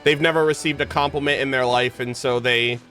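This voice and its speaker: A man's voice: medium pitch, fairly even intonation, fairly quick, bright, forceful tone, soft background music. A man live streaming a game, chatting informally and jokingly with his viewers in slangy language. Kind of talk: casual game streaming chat.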